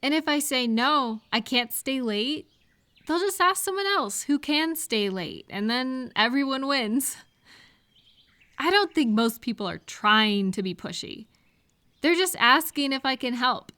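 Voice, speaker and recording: A woman's voice: high-pitched, lively intonation, measured pace, bright, forceful tone. A woman speaking conversationally to one listener in a supportive advice roleplay, reassuring and explanatory. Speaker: audio roleplay performer giving supportive advice.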